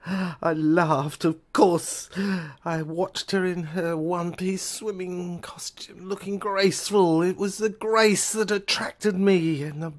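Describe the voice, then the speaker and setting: Female narrator for an audio drama, slow-paced, first-person, halting delivery with repeated words and broken phrases. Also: fairly low pitch, steady tone.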